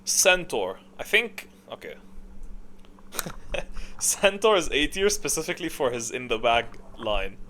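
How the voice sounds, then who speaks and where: A man's voice: medium pitch, very animated, unhurried, long pauses, pushed and bright, a little hiss or hum. Male gaming host, mid-range voice, informal and hesitant, off-the-cuff opinion commentary. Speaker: host of a gaming tier list video. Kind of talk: casual, rambling opinion commentary.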